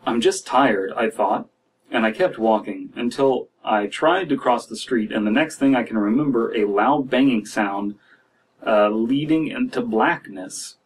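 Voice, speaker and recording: Guy in his 30s doing casual story commentary, kinda halting and wry, medium pitch, bit far from the mic. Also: breathy edge. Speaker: podcast host reading and reacting to horror stories. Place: small dim room indoors.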